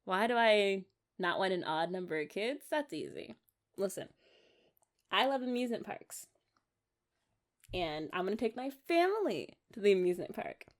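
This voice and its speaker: A woman's voice: mid-range voice, very animated, normal speed, pauses often, close to an everyday voice. A young American woman playing an eager, affectionate wife in an intimate ASMR romance roleplay, rambling and coaxing in a giggly way. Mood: playful, musing.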